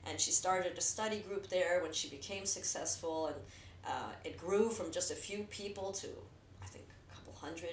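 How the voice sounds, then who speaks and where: An American woman from New Jersey talking candidly and reflectively about herself in casual conversation. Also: on the low side. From New Jersey, United States. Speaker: filmmaker. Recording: roomy echo, distant mic, faint noise.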